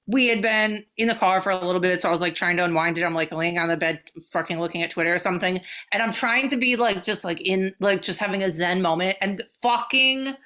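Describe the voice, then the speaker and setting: A woman's voice: fairly low pitch, fast talker, hardly any pauses, pushed and bright. A woman co-hosting a podcast, chatting loosely with lots of swearing and laughter. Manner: loose, profane and giggly. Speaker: podcast co-host. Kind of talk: casual banter.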